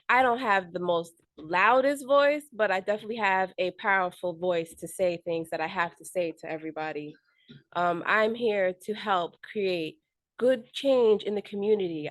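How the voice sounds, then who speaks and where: A woman in her forties gives an unprepared speech at a neighborhood meeting in a somewhat low voice. She talks earnestly but halts often, filling the gaps with ums and starting sentences over. The sound is dry, with little room echo. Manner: earnest, halting, with frequent ums and restarts. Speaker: school board candidate.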